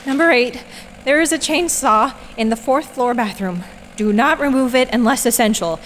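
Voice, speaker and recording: An actress playing a nervous new night-shift worker reading out strange rules. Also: female voice, on the high side, moderate pace, in a large hall, a little hiss or hum, lightly acted. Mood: anxious. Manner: anxious, jittery.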